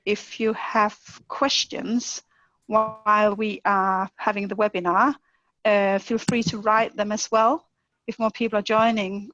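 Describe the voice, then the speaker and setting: A woman hosts an online webinar in a friendly, slightly halting way, with some filler words. Her delivery stays fairly even, with a gravelly edge. The video-call audio is muffled and dry. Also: medium pitch, no room sound. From Denmark. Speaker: webinar host, lean manager.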